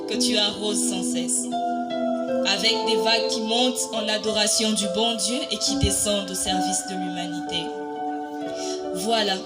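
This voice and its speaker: A woman reciting a poem in a dramatic spoken-word style, solemn and declamatory, with deliberate pauses. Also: medium pitch, fairly even intonation. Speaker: poetry reciter. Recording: music bed under the voice. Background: music.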